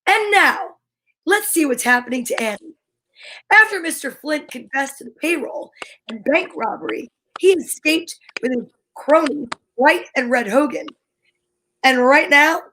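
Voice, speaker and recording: High-voiced woman as a radio announcer. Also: pushed and bright, rough recording. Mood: dramatic narrating. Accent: put-on French, then Jersey. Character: old-time radio announcer pitching a children's drink. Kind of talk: 1930s radio show re-enactment.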